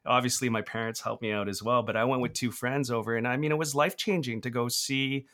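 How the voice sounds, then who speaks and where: A man's voice: medium pitch, steady tone, fast talker, fairly bright tone. A man in his forties from Canada, an interviewer recalling past travels in an earnest, conversational way. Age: 40s. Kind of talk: casual conversation.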